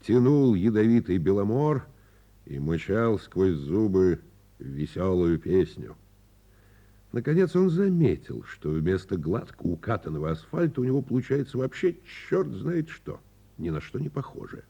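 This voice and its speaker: A man reading a literary fairy tale as a radio theatre narrator, with measured, dramatic phrasing. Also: fairly low pitch, big pitch swings, dark tone, highs cut off. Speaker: actor reading a story.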